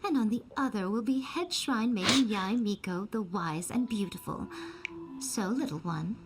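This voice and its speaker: A woman's voice: mid-range voice, animated, moderate pace, noisy background, close to an everyday voice. A woman playing a sly, elegant shrine maiden in a video game, teasing, coy and poised. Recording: game music behind voice.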